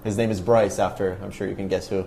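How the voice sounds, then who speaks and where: A man's voice: deep voice, flat delivery, quick pace, gravelly, dark tone. A man hosting a casual sports podcast, asking questions in a conversational way. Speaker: podcast host, interviewer. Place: in person, background ambience. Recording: in-person podcast with background noise.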